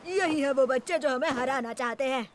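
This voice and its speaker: A woman's voice: sits high, moderate pace, noisy background, close to an everyday voice. A woman voicing a taunting, arrogant young rival child in a children's cartoon, mocking and boastful. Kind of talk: Hindi-dubbed children's cartoon acting.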